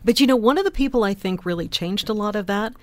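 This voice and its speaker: A woman with a lower voice than average, speaking emphatically and articulately as a panelist on a radio talk show. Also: fast talker, no echo. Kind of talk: opinionated panel discussion.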